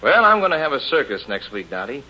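A man's voice: medium pitch, sing-song, quick pace, lo-fi sound, slight background noise. A man hosting and starring in a 1950s radio musical play, with a genial, polished showman's delivery, on an old radio transcription. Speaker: host and star singer. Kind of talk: 1950s radio drama and announcing.

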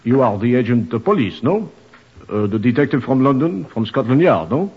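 An actor playing a courteous, inquisitive foreign guest in an old-time radio drama. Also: male voice, medium pitch, big pitch swings, normal speed, husky. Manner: questioning, tags sentences with "no?". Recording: dull, reverberant old radio recording.

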